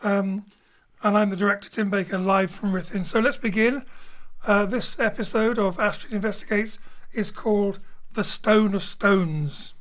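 A man's voice: high voice, fairly even intonation, moderate pace, fairly bright tone. A man, a director and host, giving an informal spoken introduction to an audio show. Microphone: home studio microphone over internet link.

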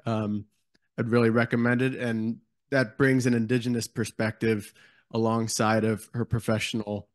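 Flat, low-voiced man, thoughtful. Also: no echo. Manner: thoughtful, hesitant with ums. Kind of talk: panel discussion remarks. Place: large conference hall.

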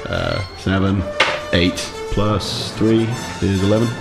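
A man's voice: sits low, steady tone, slow pace, gravelly. A man playing a tabletop role-playing game, chatting casually and jokingly with the table. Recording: music behind the voice.

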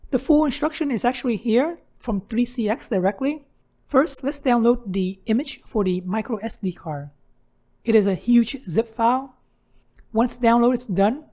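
A woman's voice: a little high, mellow. Woman narrating a step-by-step tutorial. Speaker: tutorial host.

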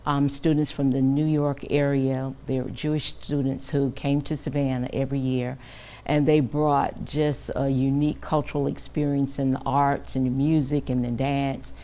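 A woman's voice: extremely low pitch, flat delivery, moderate pace, warm tone. An older woman guest in a TV studio interview, speaking earnestly and measuredly with frequent fillers, on a lapel mic. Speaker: guest, director of a children's camp. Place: TV news studio.

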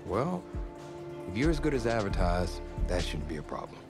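A man's voice: low-pitched, very animated, normal speed, music in the background. A man playing a confident, competitive young backup quarterback in a video game cutscene, direct and probing, with an American accent.

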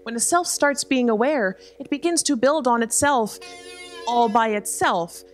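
A woman playing a literal-minded self-aware garbage spaceship, measured and matter-of-fact in a staged sci-fi comedy drama. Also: a little high, faint music behind, natural voice. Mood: reflective. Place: theatre stage, live performance. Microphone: stand microphone.

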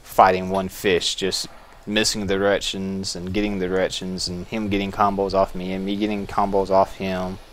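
A man casually talking through a game as he plays, relaxed and musing, thinking aloud with small restarts. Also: deep voice, normal speed, rough recording. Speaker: gameplay video host. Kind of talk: casual gameplay commentary.

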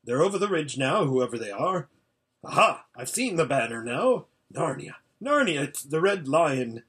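A man's voice: mid-range voice, animated, brisk, a bit bright, husky. A man voicing an impatient old hermit seer in a storybook, giving an anxious, exclamatory running report. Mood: tense, watchful. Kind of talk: storybook character voice.

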